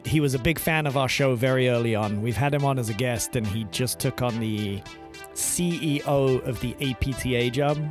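A man's voice: medium pitch, normal speed, over music. A man from Britain co-hosting a podcast in casual chat, blunt and swearing freely. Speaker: podcast co-host.

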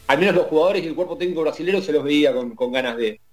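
A man's voice: fairly high pitch, rapid-fire. A man with an Argentine accent recounting a sports story in casual chat, stammering at times. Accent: Argentine Spanish. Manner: narrating events, stammers at times.

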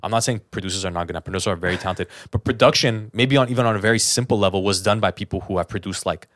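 A man with a fairly low voice talks as a podcast co-host. He is earnest and informal, circling back on phrases to stress his point. The sound is dry and close, with little room around it. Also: very fast, fairly bright tone, no room sound. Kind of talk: casual podcast chat.

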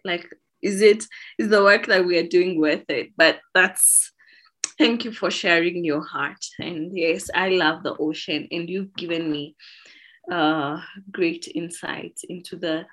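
A woman hosting an interview, speaking in a gentle, deliberate, empathetic way with a reflective, heartfelt style. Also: on the low side. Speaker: interviewer, host.

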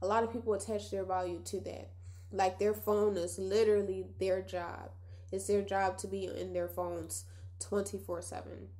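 A woman's voice: medium pitch, flat delivery, normal speed, mellow. A young woman with a nasal voice hosting a casual solo podcast, chatty and reflective, talking straight to her listeners. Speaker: podcast host.

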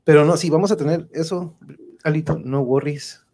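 A man's voice: a little high, fairly even intonation, moderate pace, dark tone. A man chatting casually as the host of a live show, playful and joking. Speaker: host of a live online radio-play show.

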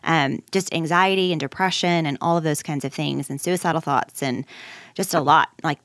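A woman's voice: sits low, steady tone, normal speed, fairly bright tone, no echo, studio quality. A woman hosting an interview, affirming her guest and leading into questions with long run-ups. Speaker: host.

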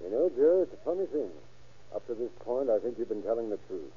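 Wily sheriff, swooping husky voice. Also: male voice, medium pitch, big pitch swings, fast talker. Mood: firm pressing. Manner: folksy, baiting, insistent. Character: wily, needling male sheriff, coolly relentless interrogator. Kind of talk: 1930s radio crime drama acting. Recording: dull old-time radio recording.

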